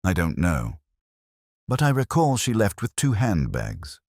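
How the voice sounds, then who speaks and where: A man's voice: on the low side, huge pitch swings, measured pace, a little mellow, dry recording, clean studio sound, voice pushed up, heavily acted. A man voicing a prim, guarded English spinster in a mystery story, with a stiff, defensive manner. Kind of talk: audio mystery story dialogue.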